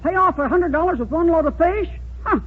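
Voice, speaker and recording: An actress playing a tough, plain-spoken dockside storekeeper with folksy, ungrammatical speech in 1940s radio comedy style. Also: female voice, very high voice, fairly quick. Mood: skeptical. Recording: tinny, noisy old radio recording.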